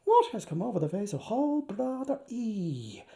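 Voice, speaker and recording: A man's voice: high-pitched, big pitch swings, vocal fry, mellow. A man with an Irish accent giving a theatrical, playful literary reading, declaiming and drawing out words for a live audience. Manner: declamatory, dramatic, playful delivery with drawn-out words. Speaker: actor reading aloud.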